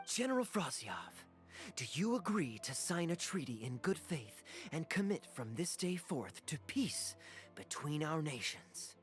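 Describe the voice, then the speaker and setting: A man voicing a suave, teasing young swordsman and noble prince in a video game, with smooth, theatrical delivery. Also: on the high side, big pitch swings, measured pace, a bit bright. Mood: solemn, noble. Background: background music.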